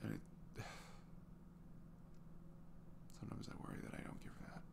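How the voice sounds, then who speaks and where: A man playing a sweet, earnest single dad in an intimate ASMR roleplay, soft and hesitant, often dropping to a whisper. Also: rapid-fire, natural voice. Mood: wistful, vulnerable. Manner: hesitant, gentle, murmured.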